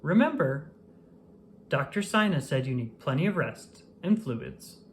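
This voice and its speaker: A storybook reader voicing a gentle, caring man who soothes and reassures his little companion. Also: mid-range voice, very animated, measured pace, slight background noise. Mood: kindly firm.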